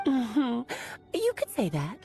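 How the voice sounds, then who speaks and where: A woman's voice: on the high side, huge pitch swings, slow pace, dark tone, faint music behind, heavily acted. A woman playing a playful, cryptic, worldly aunt in a video game, with a teasing, theatrical delivery. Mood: coy, teasing.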